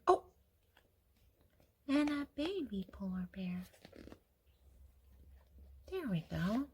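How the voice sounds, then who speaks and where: Older female picture-book reader for a children's storytime; gentle, unhurried delivery, mid-range voice, speaks directly to young listeners. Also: mid-range voice, big pitch swings, husky. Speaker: host reading picture books to children. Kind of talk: read-aloud children's storytime with singing.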